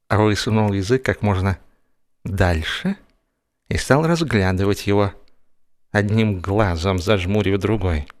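A man narrating a humorous first-person story in a theatrical, expressive audio-drama style. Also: fairly low pitch. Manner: expressive, acting out comic hesitations and dialogue. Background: music.

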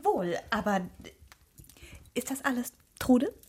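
Radio drama role: fawning club lady, high, lilting, unhurried, forever agreeing. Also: big pitch swings, darkish tone, husky, heavily acted. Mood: reverent agreement. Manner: affirming, gushing. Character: fawning, agreeable female book-club member. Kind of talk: German radio drama acting.

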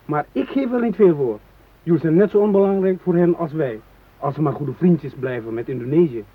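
An actor playing a threatening, embittered train hijacker in a radio drama, speaking with menace and contempt. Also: male voice, fairly high pitch, animated, brisk, slightly rough, dry recording. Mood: menacing, bitter. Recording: muffled phone-like old radio recording.

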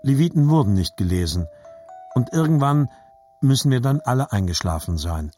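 A man's voice: medium pitch, very animated, normal speed, music in the background, natural voice. A man narrating scripted literary childhood memories as a grown grandson in an audio drama. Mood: reflective.

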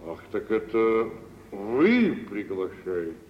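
An actor playing a stern, aloof older merchant, curt and ponderous, with a gravelly voice in old radio theatre style. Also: male voice, on the low side, big pitch swings, slow pace, slight background noise. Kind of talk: 1950s radio theatre acting. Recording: muffled old radio recording, distant, echoey hall.

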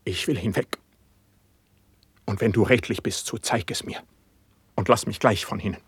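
Self-tormenting poet, lowish voice, husky, impassioned declamation, verse radio play. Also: male voice, normal speed, a bit bright, dry recording, full character voice. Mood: agitated, pleading. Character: anguished, distrustful, self-tormenting male poet on the edge of madness.

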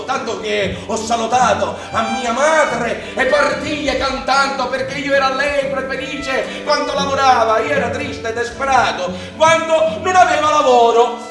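An actor playing a spirited, hungry young farm labourer with a Sicilian accent, telling his life in emphatic, folksy theatrical storytelling. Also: very high voice. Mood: cheerful. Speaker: actor narrating an autobiographical stage play. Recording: distant echoey theatre stage, music behind.

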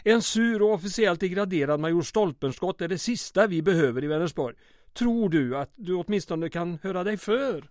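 Man as a high-voiced, harried small-town mayor. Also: lively intonation, darkish tone, dry recording. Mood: anxious, apologetic. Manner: hesitant, fussy, dry. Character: harried, apologetic male small-town mayor, middle-aged. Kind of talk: old-time radio drama.

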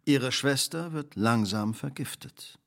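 An actor playing a shrewd, relentless detective in a radio drama, speaking precisely, formally and theatrically. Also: male voice, mid-range voice, slow pace. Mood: grave, revealing. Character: shrewd, relentless male detective laying out a crime.

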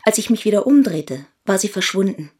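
Casting: woman playing an imperial Chinese princess for radio drama, imperious, formal, anxious underneath, mid-pitched female voice. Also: lively intonation, a little fast, warm tone, natural voice. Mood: grave, urgent. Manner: formal, commanding. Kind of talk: German radio play acting.

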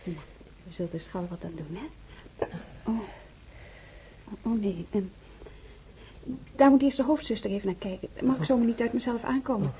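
An actress playing a young student nurse who talks in a brisk, chatty way to a patient. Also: female voice, medium pitch, dull audio, background noise, natural voice. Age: young adult. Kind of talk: radio play acting.

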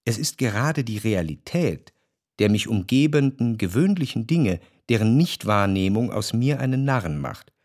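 An actor playing a reflective, cultured man who recalls his past in a literary radio drama, with measured, precise diction. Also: on the low side, animated, darkish tone. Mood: pensive. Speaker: narrator.

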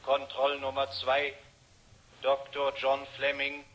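An impersonal checkpoint announcer in a vintage radio drama. His voice is bright and forceful at a medium pitch. He speaks slowly and officially, without any inflection. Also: completely flat delivery, rough recording. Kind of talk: 1970 German radio drama acting.

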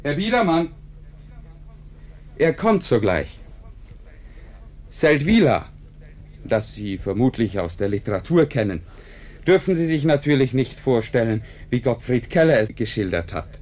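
Dull old radio sound, urbane author narrating, polite, dryly ironic, mid-pitched man. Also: very animated, darkish tone. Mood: wry, composed. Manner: courteous, dryly ironic, measured. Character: urbane, ironic male author narrating his own tale. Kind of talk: German radio play narration. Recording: dull, band-limited radio recording.

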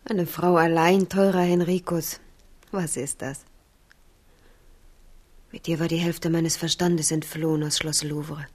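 Stolze junge Königin, eher tiefe Stimme. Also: female voice, sits low, steady tone, a little slow, pauses often, a little mellow. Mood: tender, confessional. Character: proud, melancholy young queen, tender toward her husband. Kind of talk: radio drama acting.

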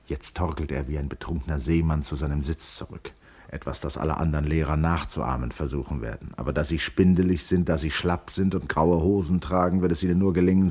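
Really deep voice, a wry introspective schoolboy, radio play monologue, measured and reflective. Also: male voice, darkish tone, slightly rough. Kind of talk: literary radio drama monologue.

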